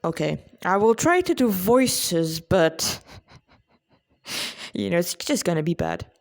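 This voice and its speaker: A woman, an author reading her own story in a dramatic, deliberate way with informal asides. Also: fairly low pitch, sing-song.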